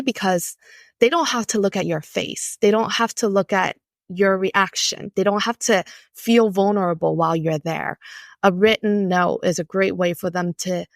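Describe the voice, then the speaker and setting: A woman from Taiwan, a company co-founder, speaking as a podcast guest in a measured, thoughtful way with frequent pauses and fillers. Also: medium pitch, dry recording, studio quality. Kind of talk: podcast conversation.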